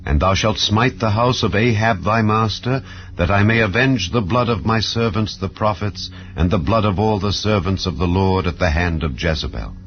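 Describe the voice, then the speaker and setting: A man reading scripture aloud in a slow, solemn, measured way. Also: fairly low pitch, muffled recording. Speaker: Bible reader.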